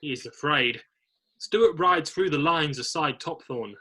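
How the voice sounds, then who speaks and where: A man narrates a screenplay in a bright, forward voice that sits a little high. There is a marked huskiness to it as he reads scene headings and stage directions. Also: measured pace. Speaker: narrator of a screenplay read-through.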